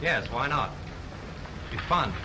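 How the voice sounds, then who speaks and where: An easygoing amateur sleuth in an early talkie, confident and quick to joke. His voice is mid-pitched, and constant hiss from the old film soundtrack runs beneath it. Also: lively intonation. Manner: breezy, confident, joking. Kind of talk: 1930s film acting. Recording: hissy 1930s film soundtrack.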